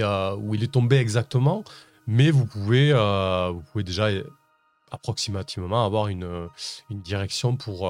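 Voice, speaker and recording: Male game master, lowish voice, hesitant improvised narration, light music bed. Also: darkish tone. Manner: hesitant, halting delivery with repeated words. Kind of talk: improvised tabletop roleplay narration. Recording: music playing under the voice.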